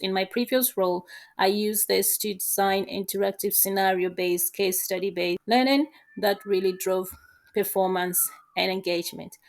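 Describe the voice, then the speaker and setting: A woman's voice: mid-range voice, fairly even intonation, moderate pace, vocal fry. Woman giving formal interview answers. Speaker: job interview candidate.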